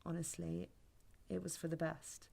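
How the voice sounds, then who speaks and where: A woman's voice: fairly low pitch, little pitch movement, a little slow, gravelly, mellow, exaggerated voice. A young woman playing a blunt, guarded sceptic on a first date in a naturalistic sci-fi audio drama, dry and matter-of-fact. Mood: confessional.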